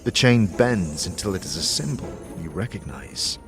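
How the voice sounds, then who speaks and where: Guy narrating a fantasy audio drama, lowish voice, really varied pitch, music behind. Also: very animated, moderate pace. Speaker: narrator and game master, also voicing the lead character. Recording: music under the voice.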